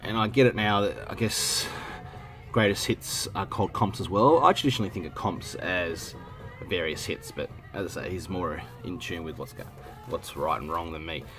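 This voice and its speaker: An Australian man talks casually to his viewers in a low voice that sounds congested from a head cold. He rambles, backtracks and clears his throat often, while soft music plays in the background. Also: moderate pace. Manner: rambling, self-correcting, frequent ums and throat clearing. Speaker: vinyl collecting vlogger. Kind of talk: casual rambling chat to camera. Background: music playing softly.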